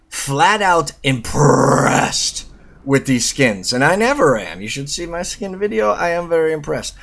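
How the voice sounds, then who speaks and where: Husky man reading aloud casually. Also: on the high side, a bit bright. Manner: informal, self-interrupting, sweary. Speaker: YouTube host and reviewer. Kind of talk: casual read-aloud of an article with asides.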